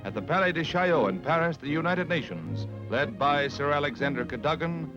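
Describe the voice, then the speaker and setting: A man's voice: on the high side, sing-song, brisk. A man with an American accent narrating a 1940s newsreel in a dramatic, declamatory scripted style. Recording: dull lo-fi 1940s newsreel soundtrack. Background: newsreel music.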